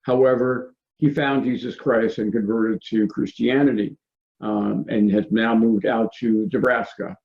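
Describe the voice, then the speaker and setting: A man's voice: on the low side, fairly even intonation, unhurried, darkish tone. A man hosting a show, reading a plain scripted introduction of a guest. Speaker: show host. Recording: dull lo-fi audio with gravelly fry.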